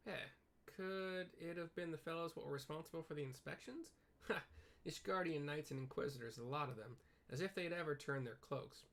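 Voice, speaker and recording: A man's voice: fairly high pitch, fairly quick, creaky, fairly bright tone, voice pushed up. A man voicing a blunt, loyal working-class quartermaster in a fantasy game, talking in a folksy rustic dialect. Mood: reluctant, wary.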